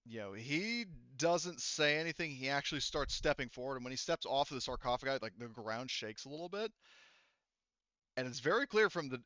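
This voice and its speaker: A man improvising casual tabletop game narration as game master, in a halting way. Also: mid-range voice, pushed and bright, clean tone, dry recording, muffled recording.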